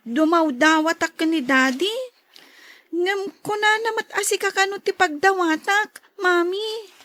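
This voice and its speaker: An actress playing an earnest, questioning schoolchild in a radio drama, with an Ilocano accent. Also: female voice, extremely high pitch, measured pace, fairly bright tone, no echo. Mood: earnest, pleading.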